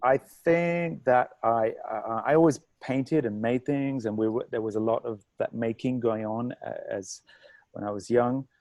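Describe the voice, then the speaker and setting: A man from England, a guest artist, answering interview questions haltingly with many restarts and fillers over a video call. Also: medium pitch, darkish tone, no echo.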